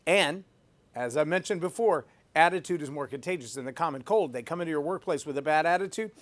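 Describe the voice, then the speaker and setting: A man's voice: on the high side, fairly quick. Emphatic man giving a leadership talk. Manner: emphatic, repeats phrases for effect; self-described loud voice. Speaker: podcast host. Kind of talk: leadership talk with personal story.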